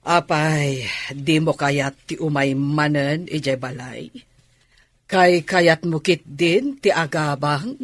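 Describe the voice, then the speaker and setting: Radio drama aunt, older, played by a man: highish husky voice, measured, coaxing and insistent with the young niece. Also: a bit bright, no room sound, heavily acted. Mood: probing.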